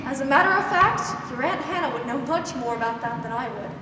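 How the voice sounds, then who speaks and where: A woman acts a kindly but no-nonsense aunt in old radio drama style. Her voice is very high. It echoes heavily across a noisy stage, picked up at a distance. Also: expressive, a little fast. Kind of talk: 1940s radio drama acting. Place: school theater stage. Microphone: stand microphone, masked performer.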